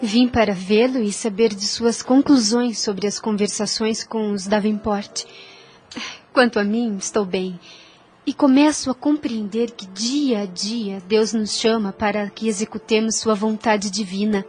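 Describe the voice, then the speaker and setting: A Brazilian actress playing a gentle, devout, self-sacrificing young daughter in an audio drama, speaking in earnest, formal phrases. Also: female voice, medium pitch, fairly even intonation, measured pace, soft background music, lightly acted. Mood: serene piety. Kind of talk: Brazilian radio drama acting.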